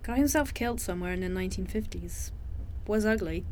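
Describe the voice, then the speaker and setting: A woman's voice: mid-range voice, a little fast, darkish tone, slight background noise, lightly acted. A woman playing a tough, world-weary crew member in an audio drama, wry and understated, by turns menacing and compassionate. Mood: weary, subdued.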